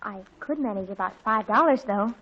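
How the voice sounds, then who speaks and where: An actress playing an earnest, excitable teenage girl in a 1940s radio comedy, on a dull lo-fi old recording. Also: mid-range voice, a little fast, husky.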